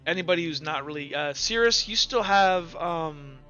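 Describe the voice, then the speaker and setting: A man running a tabletop game, improvising narration in a halting, informal way. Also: on the high side, expressive, sharp tone. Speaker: game master. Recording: slightly muffled, music bed behind.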